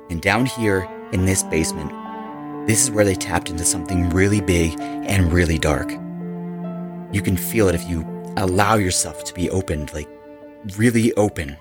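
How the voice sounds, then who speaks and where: An actor playing a calm, occult-minded rock musician who speaks earnestly. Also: male voice, deep voice.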